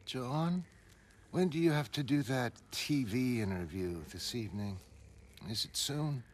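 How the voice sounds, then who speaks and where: A man's voice: mid-range voice, lively intonation, measured pace. A man voicing an exasperated, emotional woman in a motion comic, with an agitated, pleading and blunt manner. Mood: uneasy, apologetic. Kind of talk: motion comic dramatic reading.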